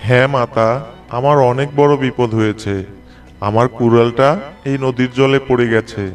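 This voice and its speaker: A man playing an honest, humble poor woodcutter in an animated moral tale, in an earnest, plaintive way. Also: mid-range voice, moderate pace, distant mic, natural voice. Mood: distraught. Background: music.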